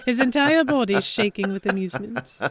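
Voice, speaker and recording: A woman playing a young, flustered heroine who narrates a pirate romance in the first person, with expressive dramatic delivery. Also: on the high side, a little fast, close to an everyday voice.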